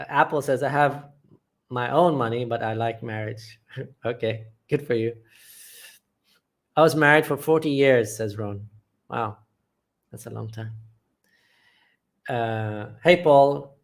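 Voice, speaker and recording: Blunt, matter-of-fact man from England. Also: mid-range voice. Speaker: channel host. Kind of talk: live chat reading viewer comments.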